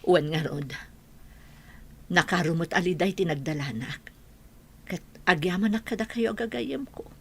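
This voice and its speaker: A woman's voice: lowish voice, normal speed, long pauses, breathy edge. A woman playing a tender, grateful widowed bride in an Ilocano radio drama, speaking in an earnest, sentimental way. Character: widowed bride Aurelia, tender, grateful, newly happy after grief.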